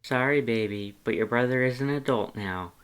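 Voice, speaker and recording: A man's voice: medium pitch, normal speed, clean tone, natural voice. A man voicing a flirty, teasing male skeleton with playful, suggestive casual talk in a story reading. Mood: flirty, amused. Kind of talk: storybook character dialogue.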